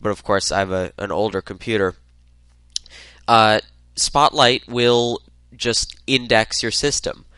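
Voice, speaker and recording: A man's voice: fairly low pitch, fairly even intonation, unhurried, bright, forceful tone, clear, resonant tone, dry recording. A man with a head cold hosting a solo tech podcast, talking loosely with lots of uhs. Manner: rambling, many filler uhs. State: congested from a cold. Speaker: podcast host.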